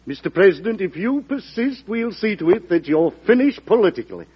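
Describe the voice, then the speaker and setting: A man's voice: high-pitched, big pitch swings, fairly quick, breathy edge, exaggerated voice. An actor playing a blunt, threatening senator in a radio drama, formal and curt in his delivery. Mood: indignant, threatening. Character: blunt, threatening male senator, political rival. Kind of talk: 1940s radio drama acting. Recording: dull vintage radio recording.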